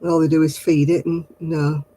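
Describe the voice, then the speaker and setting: A woman's voice: sits low, flat delivery, moderate pace, warm tone, poor audio. An older woman with a mixed Oldham and Manchester accent, chatting casually to live viewers in a rambling, homely way and chuckling often. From Royton, Oldham, England. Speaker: livestream host. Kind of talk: casual chat answering live comments.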